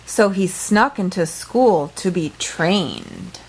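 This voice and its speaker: A woman reading a picture book aloud for children, expressive and playful, with dramatic phrasing and small asides. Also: on the low side, slow, husky, rough recording.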